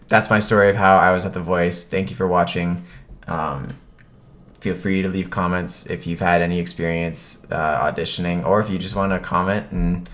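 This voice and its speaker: Deep-voiced man telling a casual story. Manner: animated retelling, acts out quoted remarks. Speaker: vlogger telling a personal story.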